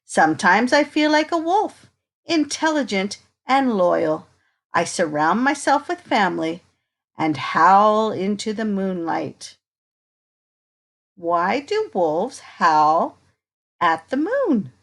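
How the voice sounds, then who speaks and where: A woman reads a picture book aloud to children at a slow, measured pace. Her pitch moves up and down in a lively way, and she turns to her young listeners with inviting questions in the manner of a teacher. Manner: expressive, unhurried, asks inviting questions.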